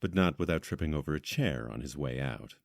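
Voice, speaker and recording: A man's voice: very deep voice, lively intonation, fairly quick. A man narrating a scripted fantasy audio drama as its storyteller. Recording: clearly noisy recording with clicks.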